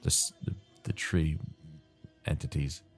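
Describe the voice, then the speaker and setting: Male gaming host, very deep, dry-throated and soothing, loose rambling commentary, light music bed. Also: unhurried, breathy edge. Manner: calm, soothing, rambling delivery with laughs. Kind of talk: casual rambling commentary over gameplay.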